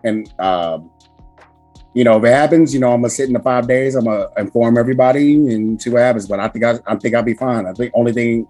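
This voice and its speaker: Hoarse raspy man, loud and profane. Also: medium pitch, fast talker, faint music behind. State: hoarse from screaming. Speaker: podcast host. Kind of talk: casual podcast chat.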